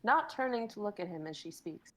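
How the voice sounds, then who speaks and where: A woman's voice: mid-range voice, animated, fairly quick, fairly bright tone. A young adult woman in a reading group, chatting casually and reading out stage directions. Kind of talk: casual chat and script reading.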